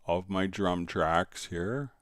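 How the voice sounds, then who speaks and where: A man hosting a live software tutorial, explaining step by step and informally thinking aloud as he demonstrates. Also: sits low, slow pace, no room sound, clean studio sound. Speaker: software instructor and livestream host.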